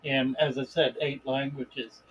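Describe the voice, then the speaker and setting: A man hosting a live stream in a relaxed, unhurried way, greeting viewers by name and reading their comments aloud. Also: medium pitch, slightly rough, poor audio. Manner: relaxed, unhurried, chuckles between remarks. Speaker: livestream host.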